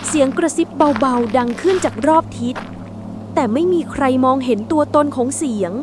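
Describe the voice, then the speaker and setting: High-voiced woman narrating a suspense story. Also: normal speed, music in the background. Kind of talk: scripted story narration, suspense bedtime tale.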